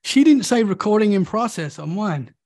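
A man with an English accent from the London area, talking casually on a video call as a podcast guest, with a sore throat from a cold. He sounds friendly and keen, quick to agree. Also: high-pitched, expressive, a little mellow. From Essex, England.

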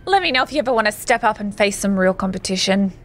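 A woman's voice: mid-range voice, animated, fast, close to an everyday voice. An actress voicing a catty, condescending female wrestler who mocks her rival in a video game. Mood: mocking. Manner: mocking, sneering.

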